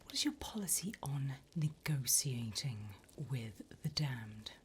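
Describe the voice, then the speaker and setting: A woman playing a wickedly amused, businesslike female demon interviewer in a breathy, whispered ASMR roleplay. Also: a bass voice, big pitch swings, a little slow, slight background noise, exaggerated voice.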